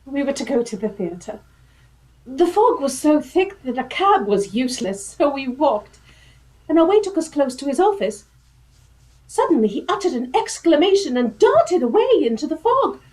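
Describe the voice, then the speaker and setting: A woman's voice: high voice, darkish tone, poor audio. A woman acting a grieving, loyal young lady in a radio drama, earnest and formal. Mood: sorrowful, pleading.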